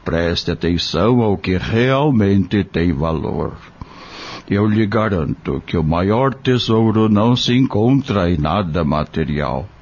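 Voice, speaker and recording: A man playing a kind, devout country doctor in an audio drama, speaking solemnly and gently, like a fatherly preacher. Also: deep voice, lively intonation, takes it slowly, highs cut off, exaggerated voice. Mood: fatherly, serene.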